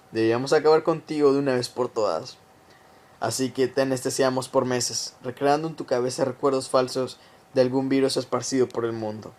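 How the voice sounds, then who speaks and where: A man playing a cold government conspirator in a radio drama, confessing a plot to his victim in a deliberate, taunting, menacing way. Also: mid-range voice, darkish tone, natural voice. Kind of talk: amateur radio drama monologue.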